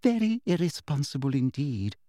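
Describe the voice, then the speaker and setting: A prim, patronizing schoolmistress, put on by a man reading a storybook, who talks in a soft, sugary, high voice that rises and falls in a sing-song. Her sweetness never sounds sincere. The recording is dry and close. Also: very animated, normal speed, dry recording. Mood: falsely sweet. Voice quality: sweet, breathy, prissy and patronizing. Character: sugary, condescending female teacher, falsely sweet.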